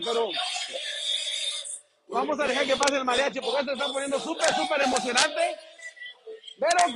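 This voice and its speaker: A man hosting live on-the-spot coverage at a rally. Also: very high-pitched. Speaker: host reporting from a rally. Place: outdoors at a city rally. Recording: outdoor rally with crowd noise.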